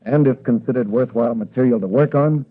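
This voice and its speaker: Confident young plainclothes policeman played by a man, matter-of-fact, slightly husky, vintage radio serial with narrow muffled sound. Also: medium pitch, fairly quick, natural voice. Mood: matter-of-fact, earnest. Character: confident young patrolman explaining rackets, a plainclothes crimefighter. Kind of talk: 1940s radio adventure acting. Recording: muffled, band-limited vintage radio recording.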